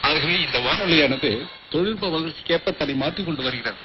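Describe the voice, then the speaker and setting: An older man with an Indian Tamil accent gives formal, measured interview answers in literary phrasing. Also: on the high side, over loud music. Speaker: retired radio official, interviewee. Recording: noisy muffled shortwave radio broadcast.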